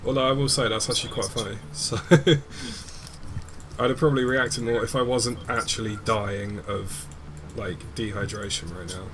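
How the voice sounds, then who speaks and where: A young man, chatting in a relaxed way during a gaming stream and swearing casually. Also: on the low side, moderate pace, slight background noise.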